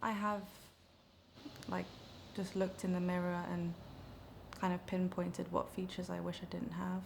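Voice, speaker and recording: A young woman speaking earnestly and in a measured way in an interview. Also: fairly low pitch, completely flat delivery, vocal fry, slight background noise. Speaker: documentary interviewee. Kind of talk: candid interview.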